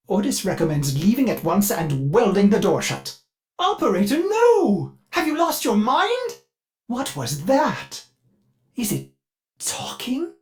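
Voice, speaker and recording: An eager-to-please artificial mind that runs a spaceship in a video game. The delivery is very animated in pitch, servile and theatrical. It halts now and then amid elaborate courtesy. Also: male voice. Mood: disgusted, wary, then alarmed. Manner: overly polite, theatrical, servile, halting. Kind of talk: video game character dialogue.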